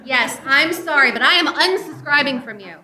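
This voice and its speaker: A woman's voice: high-pitched, lively intonation, moderate pace, noisy background. A woman playing a fed-up, melodramatic girlfriend breaking things off in a radio-style comedy sketch. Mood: exasperated. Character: exasperated girlfriend dumping a clingy email service.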